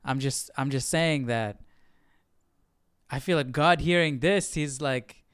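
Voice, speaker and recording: A man hosting a Bible study in a casual, unscripted way. His voice is clean and smooth, with very little room sound. He talks haltingly, as if working out his thoughts. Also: medium pitch, fairly bright tone, no echo, studio quality. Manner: halting, thinking aloud.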